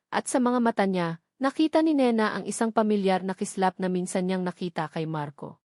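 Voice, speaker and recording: A woman reading a scripted romance story as narrator, in a measured storytelling delivery. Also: mid-range voice, darkish tone, dry recording, clean studio sound.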